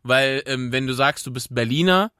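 Laid-back young podcast host, dry. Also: male voice, mid-range voice, moderate pace, bright, forceful tone, clean tone, no echo. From raised in North Rhine-Westphalia. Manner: hesitant, many fillers, laid-back. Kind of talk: casual chat.

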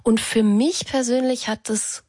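A woman's voice: a little high, steady tone, unhurried, darkish tone, slightly rough, no room sound. A woman in her thirties from East Berlin, hosting a radio show and thinking aloud in a personal, reflective way. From East Berlin, raised in Brandenburg. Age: 30s. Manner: thoughtful, personal, many fillers. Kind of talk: reflective on-air conversation.

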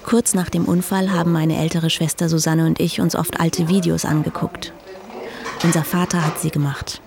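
A woman in her thirties narrates a radio documentary in a calm, reflective way. Her voice sits low and has a gravelly, creaky edge. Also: normal speed, crowd noise. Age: 30s. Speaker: journalist and documentary author.

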